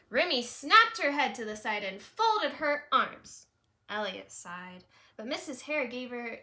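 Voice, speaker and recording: A woman reading a storybook aloud for children, with chatty, simple asides and wondering out loud. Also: fairly high pitch, creaky. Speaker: storybook reader, teacher.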